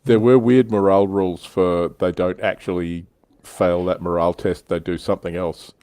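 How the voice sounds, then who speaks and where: A man with a deep voice hosts a relaxed hobby panel. He talks conversationally, and the sound is dry with little room in it. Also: moderate pace, no room sound. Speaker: livestream host. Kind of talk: casual panel discussion of tabletop wargaming. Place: indoors, online livestream.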